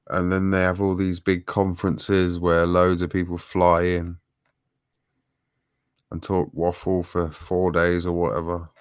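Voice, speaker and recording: A man's voice: low voice, completely flat delivery, takes it slowly, pauses often, clear, resonant tone. A man chatting casually as a guest, blunt and offhand, swearing freely. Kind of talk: casual chat.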